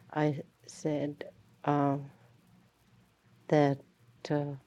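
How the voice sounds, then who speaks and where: A woman schoolteacher recounting her classroom memories in an interview, speaking in a halting, reflective way. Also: rumbling low, mellow. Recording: dull lo-fi recording.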